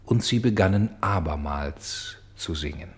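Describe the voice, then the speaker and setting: An actor playing a grave, sorrowful storyteller in a radio play, with solemn and deliberate phrasing. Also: male voice, low voice, slight background noise. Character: grave, sorrowful male storyteller bearing witness to tragedy.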